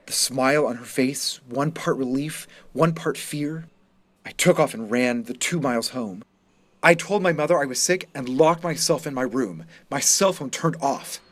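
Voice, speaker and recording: A man reading a first-person story as a wounded, resentful high school student, in scripted narration. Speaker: reader of a short story.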